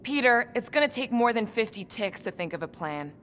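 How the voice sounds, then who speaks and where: A woman's voice: a little high, big pitch swings, brisk, fairly bright tone, slight background noise. A woman playing a guarded, skeptical warrior crewmate in a video game, dry and challenging in manner. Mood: wary.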